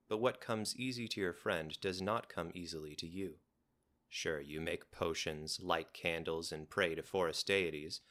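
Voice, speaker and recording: Man, deep pitch, bright tense edge, scripted story narration, measured, dramatic. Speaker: guest narrator of a game's storyline.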